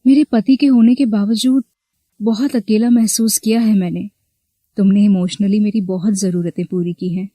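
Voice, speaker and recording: A woman's voice: mid-range voice, fairly even intonation, moderate pace, mellow, no room sound, natural voice. A woman playing a flirty, possessive, manipulative married college teacher in a scripted story. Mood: lonely, intimate. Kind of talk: scripted Hindi audio story dialogue.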